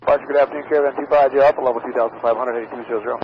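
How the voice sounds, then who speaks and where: A male pilot fires off readbacks very quickly in a creaky voice. The radio is muffled, with loud chatter behind him. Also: medium pitch, fairly even intonation. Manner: terse. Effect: aviation radio.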